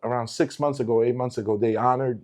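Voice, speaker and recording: Emphatic man with a dark voice. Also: mid-range voice, steady tone, fast talker. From Portugal. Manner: emphatic, repeats words when building a point. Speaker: former teacher. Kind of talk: casual conversation.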